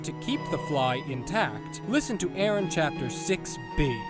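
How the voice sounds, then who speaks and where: Animated male announcer over loud music. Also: a little high, unhurried. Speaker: narrator, announcer. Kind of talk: scripted narration.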